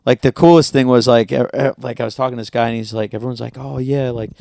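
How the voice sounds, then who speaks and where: Young comedian guest, smooth clear voice, rambling filler-heavy storyteller, dry close sound. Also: male voice, mid-range voice, fairly even intonation, fast, dark tone, no echo. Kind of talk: casual podcast banter.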